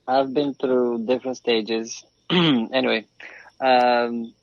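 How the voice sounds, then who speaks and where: A man's voice: mid-range voice, fairly even intonation, slow, no room sound. Man on a dull, dry line. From Romania. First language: Romanian. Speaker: podcast guest, flooring craftsman. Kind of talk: conversational interview storytelling. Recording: slightly dull, dry remote call.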